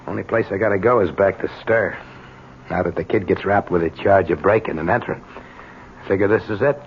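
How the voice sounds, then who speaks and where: An actor playing a bitter, world-weary old ex-convict father with rough, wry street slang, in a 1970s radio drama. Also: sits low, brisk. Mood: wry, resigned. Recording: dull, noisy old radio broadcast.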